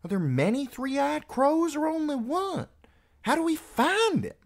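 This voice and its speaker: Man voicing a feisty high-pitched teenage girl. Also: sing-song, a little slow, exaggerated voice. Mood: exasperated. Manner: insistent, questioning, argumentative. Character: feisty young teenage girl, defiant and argumentative.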